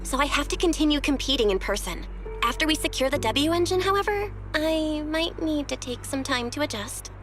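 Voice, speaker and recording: A woman voice acting a composed, caring young woman in a video game, calm and measured, gently teasing yet determined. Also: high-pitched. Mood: serious, determined. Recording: music behind the voice.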